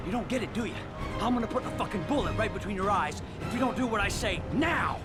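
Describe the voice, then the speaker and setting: A desperate, volatile armed robber. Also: male voice, sits high, expressive, fast. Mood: panicked threatening. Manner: shouting, threatening, swearing. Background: game music.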